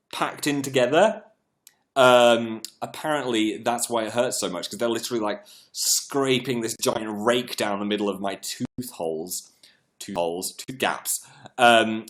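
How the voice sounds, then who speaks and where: A British man hosts a casual live stream, reading out and answering chat comments. His mid-pitched voice is a little croaky and froggy, still coming back after he lost it. He rambles along in a jokey, self-mocking way. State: froggy, croaky voice recovering from voice loss.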